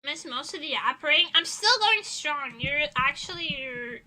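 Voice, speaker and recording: A woman's voice: high-pitched, lively intonation, moderate pace, pushed and bright, low-quality recording. A young woman streamer chatting casually and telling rambling, informal, giggly anecdotes to her viewers. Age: young adult. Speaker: VTuber streamer. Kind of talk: casual livestream chat and storytelling.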